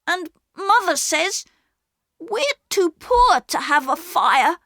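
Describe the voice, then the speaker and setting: A woman voicing an excitable, stubborn young boy who speaks in a high, earnest voice. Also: slow, vocal fry, dry recording, studio quality, full character voice. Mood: pleading.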